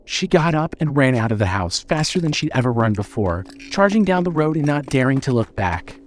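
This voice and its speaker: A man's voice: medium pitch, very animated, brisk. A man narrating scripted horror fiction in a dramatic, suspenseful storytelling manner.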